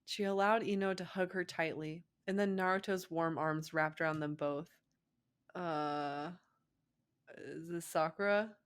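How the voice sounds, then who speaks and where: A young woman narrates fan fiction as a co-host. Her voice sits a little low for a woman. The style is informal story reading with room for casual asides. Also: fairly even intonation, moderate pace, few pauses, clean tone.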